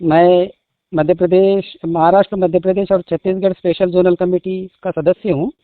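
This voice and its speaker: Guy w high voice reading a formal statement in short careful phrases, tinny phone call recording. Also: little pitch movement, moderate pace, dry recording. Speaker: spokesman.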